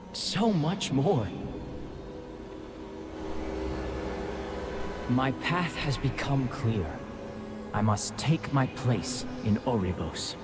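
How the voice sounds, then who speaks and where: An actor playing a serene, noble otherworldly judge in a video game, speaking in a grave, formal, measured way. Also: male voice, medium pitch. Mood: serene farewell. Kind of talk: dramatic video game cutscene acting. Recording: loud music over the voice.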